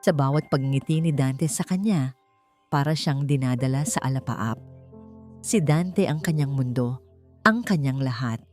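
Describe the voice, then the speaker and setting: A woman's voice: extremely low pitch, normal speed, a little mellow. A woman with a Filipino accent narrating a dramatic romance story as an audiobook. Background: background music.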